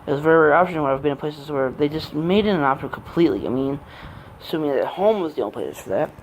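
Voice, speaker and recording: A man in his late twenties rambling off the cuff in a personal gaming vlog, with halting, meandering and broken phrasing. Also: a little high, expressive, a little hiss or hum, rough recording. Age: late 20s. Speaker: amateur gaming vlogger. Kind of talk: rambling unscripted personal monologue.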